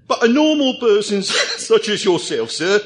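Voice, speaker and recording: A man's voice: sits high, sing-song, moderate pace. A man playing a loyal butler in an amateur radio drama, formal, wry and deferential. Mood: wry, concerned. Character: dry-witted, loyal male butler.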